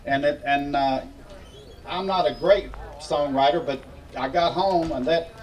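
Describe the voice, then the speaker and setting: An older man with an Appalachian Virginia accent, a local musician telling and singing folk stories in a folksy, unhurried way. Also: on the high side. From Virginia, United States. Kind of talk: informal storytelling and folk singing to an audience. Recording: distant microphone, acoustic guitar behind. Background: acoustic guitar.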